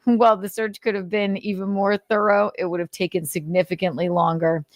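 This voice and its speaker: A woman's voice: mid-range voice, flat delivery, brisk, no echo, clean studio sound. A woman host giving commentary while reading aloud, sardonic and exasperated, with casual swearing. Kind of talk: commentary mixed with dramatic reading.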